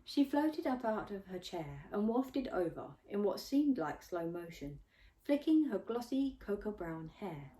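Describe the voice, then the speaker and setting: A woman reads a story aloud as its author and narrator. Her delivery is scripted and expressive, in a medium-pitched voice suited to a first-person audiobook. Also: unhurried, rough recording. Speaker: author reading own novel aloud.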